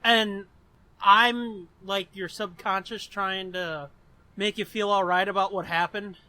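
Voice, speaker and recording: A man's voice: sits high, steady tone, slow pace, pushed and bright. A man co-hosting a podcast in casual comedic banter, crude and sardonic, swearing freely. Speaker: podcast co-host.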